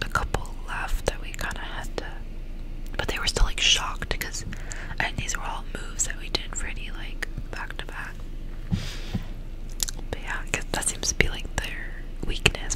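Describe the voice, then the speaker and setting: Hushed ASMR whisperer, fully unvoiced. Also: poor audio. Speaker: ASMR creator and reader. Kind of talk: ASMR whisper, reading a gothic novel aloud and chatting casually.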